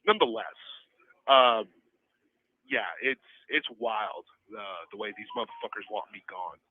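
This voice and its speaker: A man's voice: fairly low pitch, unhurried, slight background noise. A man in his forties talking casually from a jail phone, telling his story in emphatic, profane slang. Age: 40s. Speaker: inmate phoning in to a stream. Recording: tinny, band-limited jail phone line.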